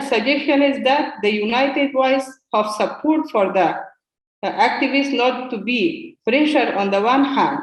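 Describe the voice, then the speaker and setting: A woman parliamentarian speaking halting, non-native English at a roundtable, with many pauses and fillers. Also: a little high, reverberant, rough recording. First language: not English. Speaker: Afghan parliamentarian in exile. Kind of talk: roundtable remarks.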